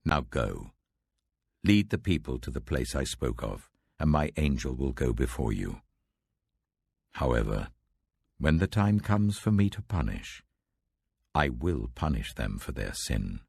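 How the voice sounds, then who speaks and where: A British man voicing God as a stern, majestic deity, slow, weighty and commanding, in a scripture reading. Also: a bass voice, sing-song, gravelly, a little mellow, husky, no echo, clean studio sound, voice pushed down, full character voice.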